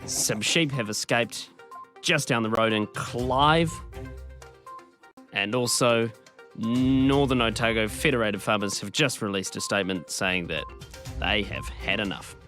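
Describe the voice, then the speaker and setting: A male radio host plays a bumbling interviewer for a comedy bit. His voice is bright and forceful. He reads a fake news report in a mock-serious tone over a faint music bed. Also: fairly low pitch, unhurried. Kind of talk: comedic radio skit hosting.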